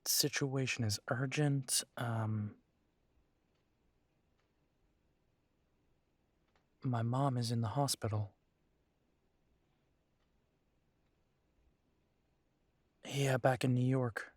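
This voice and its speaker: A man playing a troubled, tender werewolf boyfriend in an intimate roleplay audio drama, talking haltingly with sighs. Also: medium pitch, dry recording. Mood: uneasy, somber.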